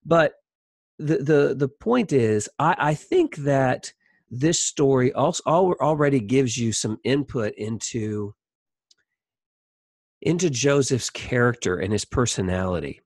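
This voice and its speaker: A man's voice: mid-range voice, expressive, measured pace, no room sound, studio quality. A man teaching a Bible story in an informal, conversational way, thinking aloud as he comments on it. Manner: conversational, thinking aloud, acting out imagined dialogue.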